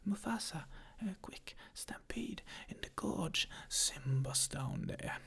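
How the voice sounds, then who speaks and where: Brief: scheming male lion villain, whispered and mocking, gravelly edge, voiced by an ASMR narrator. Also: mid-range voice, animated, slow, a bit bright, pitched below the performer's own voice, full character voice. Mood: urgent. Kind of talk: whispered ASMR film retelling.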